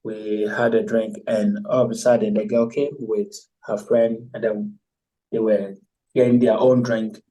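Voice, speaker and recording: A man from Ghana, a guest in a casual chat, telling stories in a hesitant, relaxed way with many pauses and ums. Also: lowish voice, far from the mic, poor audio.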